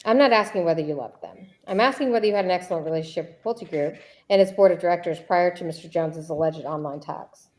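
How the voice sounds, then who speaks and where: Woman playing an insistent, lower-voiced female attorney. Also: a little mellow, close to an everyday voice. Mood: insistent, exasperated. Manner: insistent, repeats questions deliberately. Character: persistent, needling female attorney questioning a deposition witness. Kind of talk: read-aloud deposition transcript.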